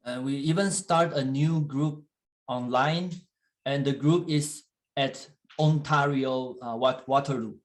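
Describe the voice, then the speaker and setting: A man preaching in English, which is not his first language, pausing often with fillers and restarts while an interpreter translates. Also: mid-range voice. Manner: halting, with frequent fillers and restarts. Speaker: guest church leader. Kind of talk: sermon in English with live interpretation.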